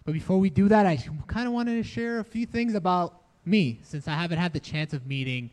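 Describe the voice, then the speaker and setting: A man's voice: sits high, fairly quick. Chatty young man telling his story. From Dominican Republic, raised in New York City. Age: young adult. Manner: relaxed, chatty, laughs easily, many fillers. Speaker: church resident speaker. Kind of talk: casual personal testimony to a congregation. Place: barn used as a church hall, rain outside.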